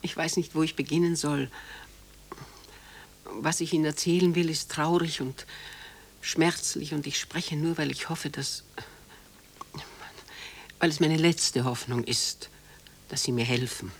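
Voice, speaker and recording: A woman's voice: deep voice, measured pace, gravelly, pauses often, exaggerated voice. An actress playing a proud, protective elderly mother in a German radio drama, formal and emphatic as she pleads for her son. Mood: hesitant, polite. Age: older.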